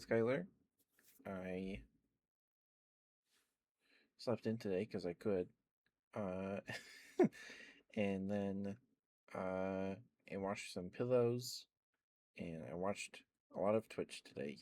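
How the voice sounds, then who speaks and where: A man with a very low voice talks slowly and unhurriedly, rambling with lots of fillers. He sits close to a fuzzy-covered mic, and the sound is dry and intimate. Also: warm tone, no room sound. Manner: rambling, hesitant, many fillers. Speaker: ASMR streamer. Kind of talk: soft rambling ASMR chat.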